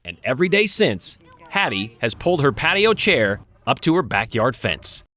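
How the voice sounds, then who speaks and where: A woman TV reporter narrating a light news feature in a lively storytelling way. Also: very deep voice, moderate pace.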